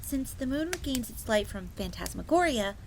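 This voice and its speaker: A woman's voice: a little high, big pitch swings, normal speed. A woman voicing a young, earnest, secretive fox maid in a scripted fantasy audio roleplay, confiding in the listener. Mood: explaining, curious. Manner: speaks of herself in third person, confiding. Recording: crackling fire noise behind voice. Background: crackling fire.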